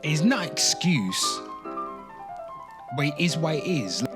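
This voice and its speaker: A man hosting an online show, giving a dramatic reading with off-the-cuff commentary, drawn-out exclamations and asides to his listeners. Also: a little high, big pitch swings. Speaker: host of an online pop culture show. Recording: music playing under the voice. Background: music.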